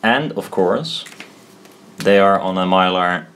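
A man giving an informal tutorial at a desk, thinking aloud in a halting, self-deprecating way. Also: low voice, a bit bright. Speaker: presenter explaining loudspeaker technology. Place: indoors at a desk.

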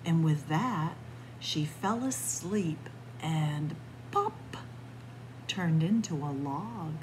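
A woman reading a picture book aloud as a library story time host, expressive and pausing deliberately between phrases. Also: deep voice, background noise. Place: indoors in a library, among bookshelves.

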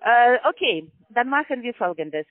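A woman working as a call center agent on a phone call, talking in broken grammar with constant hesitant fillers. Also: a little high. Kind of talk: phone sales pitch. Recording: tinny dry phone line.

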